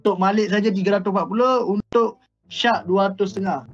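A man's voice: high-pitched, fairly even intonation, quick pace, highs cut off. A young man with a Malaysian accent chatting casually as he streams a game. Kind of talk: casual livestream chat. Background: game music.

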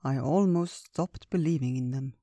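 A man voicing a kindly, wise old male bear who speaks gently and without hurry. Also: medium pitch, no room sound, close to an everyday voice. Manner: gentle, unhurried, storytelling.

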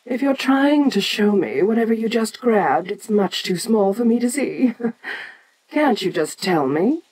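An ancient giant monster woman, played by a voice actress for an audio roleplay. She is imperious and curious, speaking very slowly and deliberately at a middling female pitch, as if to a lesser creature. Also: sing-song, darkish tone, breathy edge, poor audio. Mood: puzzled, condescending.

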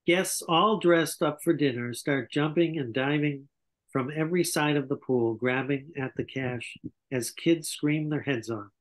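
A man's voice: fairly high pitch, unhurried. A man in his sixties reading scripted stage directions as narrator at an online table read. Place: small home room, indoors, on a video call. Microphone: computer video call.

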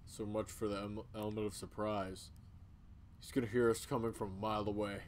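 A man voicing a dry, cynical young female crocodile in a video game, with a deadpan, sardonic delivery. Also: on the low side, a little fast, slight background noise, close to an everyday voice. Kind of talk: amateur video game voice-over.

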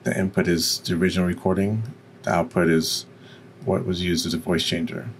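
A man with a deep voice giving an informal, explanatory software tutorial. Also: flat delivery, a little fast, a little hiss or hum. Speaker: tutorial presenter.

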